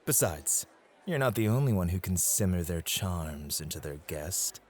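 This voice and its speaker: A man's voice: sits low, lively intonation, moderate pace, breathy edge. A man acting in an ASMR roleplay as a possessive, doting club host with an affectionate, teasing way of talking. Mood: possessive, serious.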